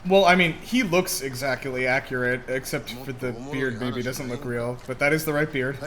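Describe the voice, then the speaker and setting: A man's voice: mid-range voice, moderate pace, crowd noise. A man giving casual, chatty reaction commentary with exclamations and plenty of "like". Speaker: movie reaction host.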